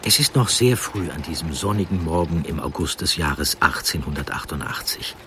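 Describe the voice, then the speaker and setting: A man reading a scripted historical narration in a measured, articulate manner. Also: rumbling low, lively intonation, noisy background. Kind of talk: scripted documentary storytelling narration. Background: music under the voice.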